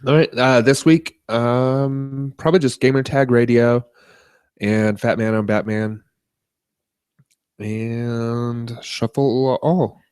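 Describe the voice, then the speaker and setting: A man co-hosting a podcast, chatting casually about tech and media in a halting, rambling way. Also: medium pitch, fairly even intonation, a little mellow, clean tone, dry recording. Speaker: podcast co-host.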